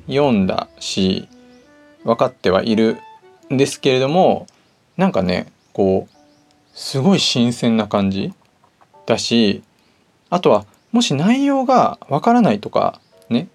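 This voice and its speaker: Male podcast host, creaky mid-pitched voice, polite and casual solo talk over background music. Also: a little mellow. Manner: polite, conversational.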